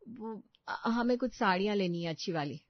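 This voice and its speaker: A woman's voice: mid-range voice, expressive, moderate pace, no echo, highs cut off, lightly acted. A woman playing a bossy, haughty housewife in a story, scolding and plain-spoken. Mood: pleading, complaining. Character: bossy middle-class housewife, skeptical employer of a maid.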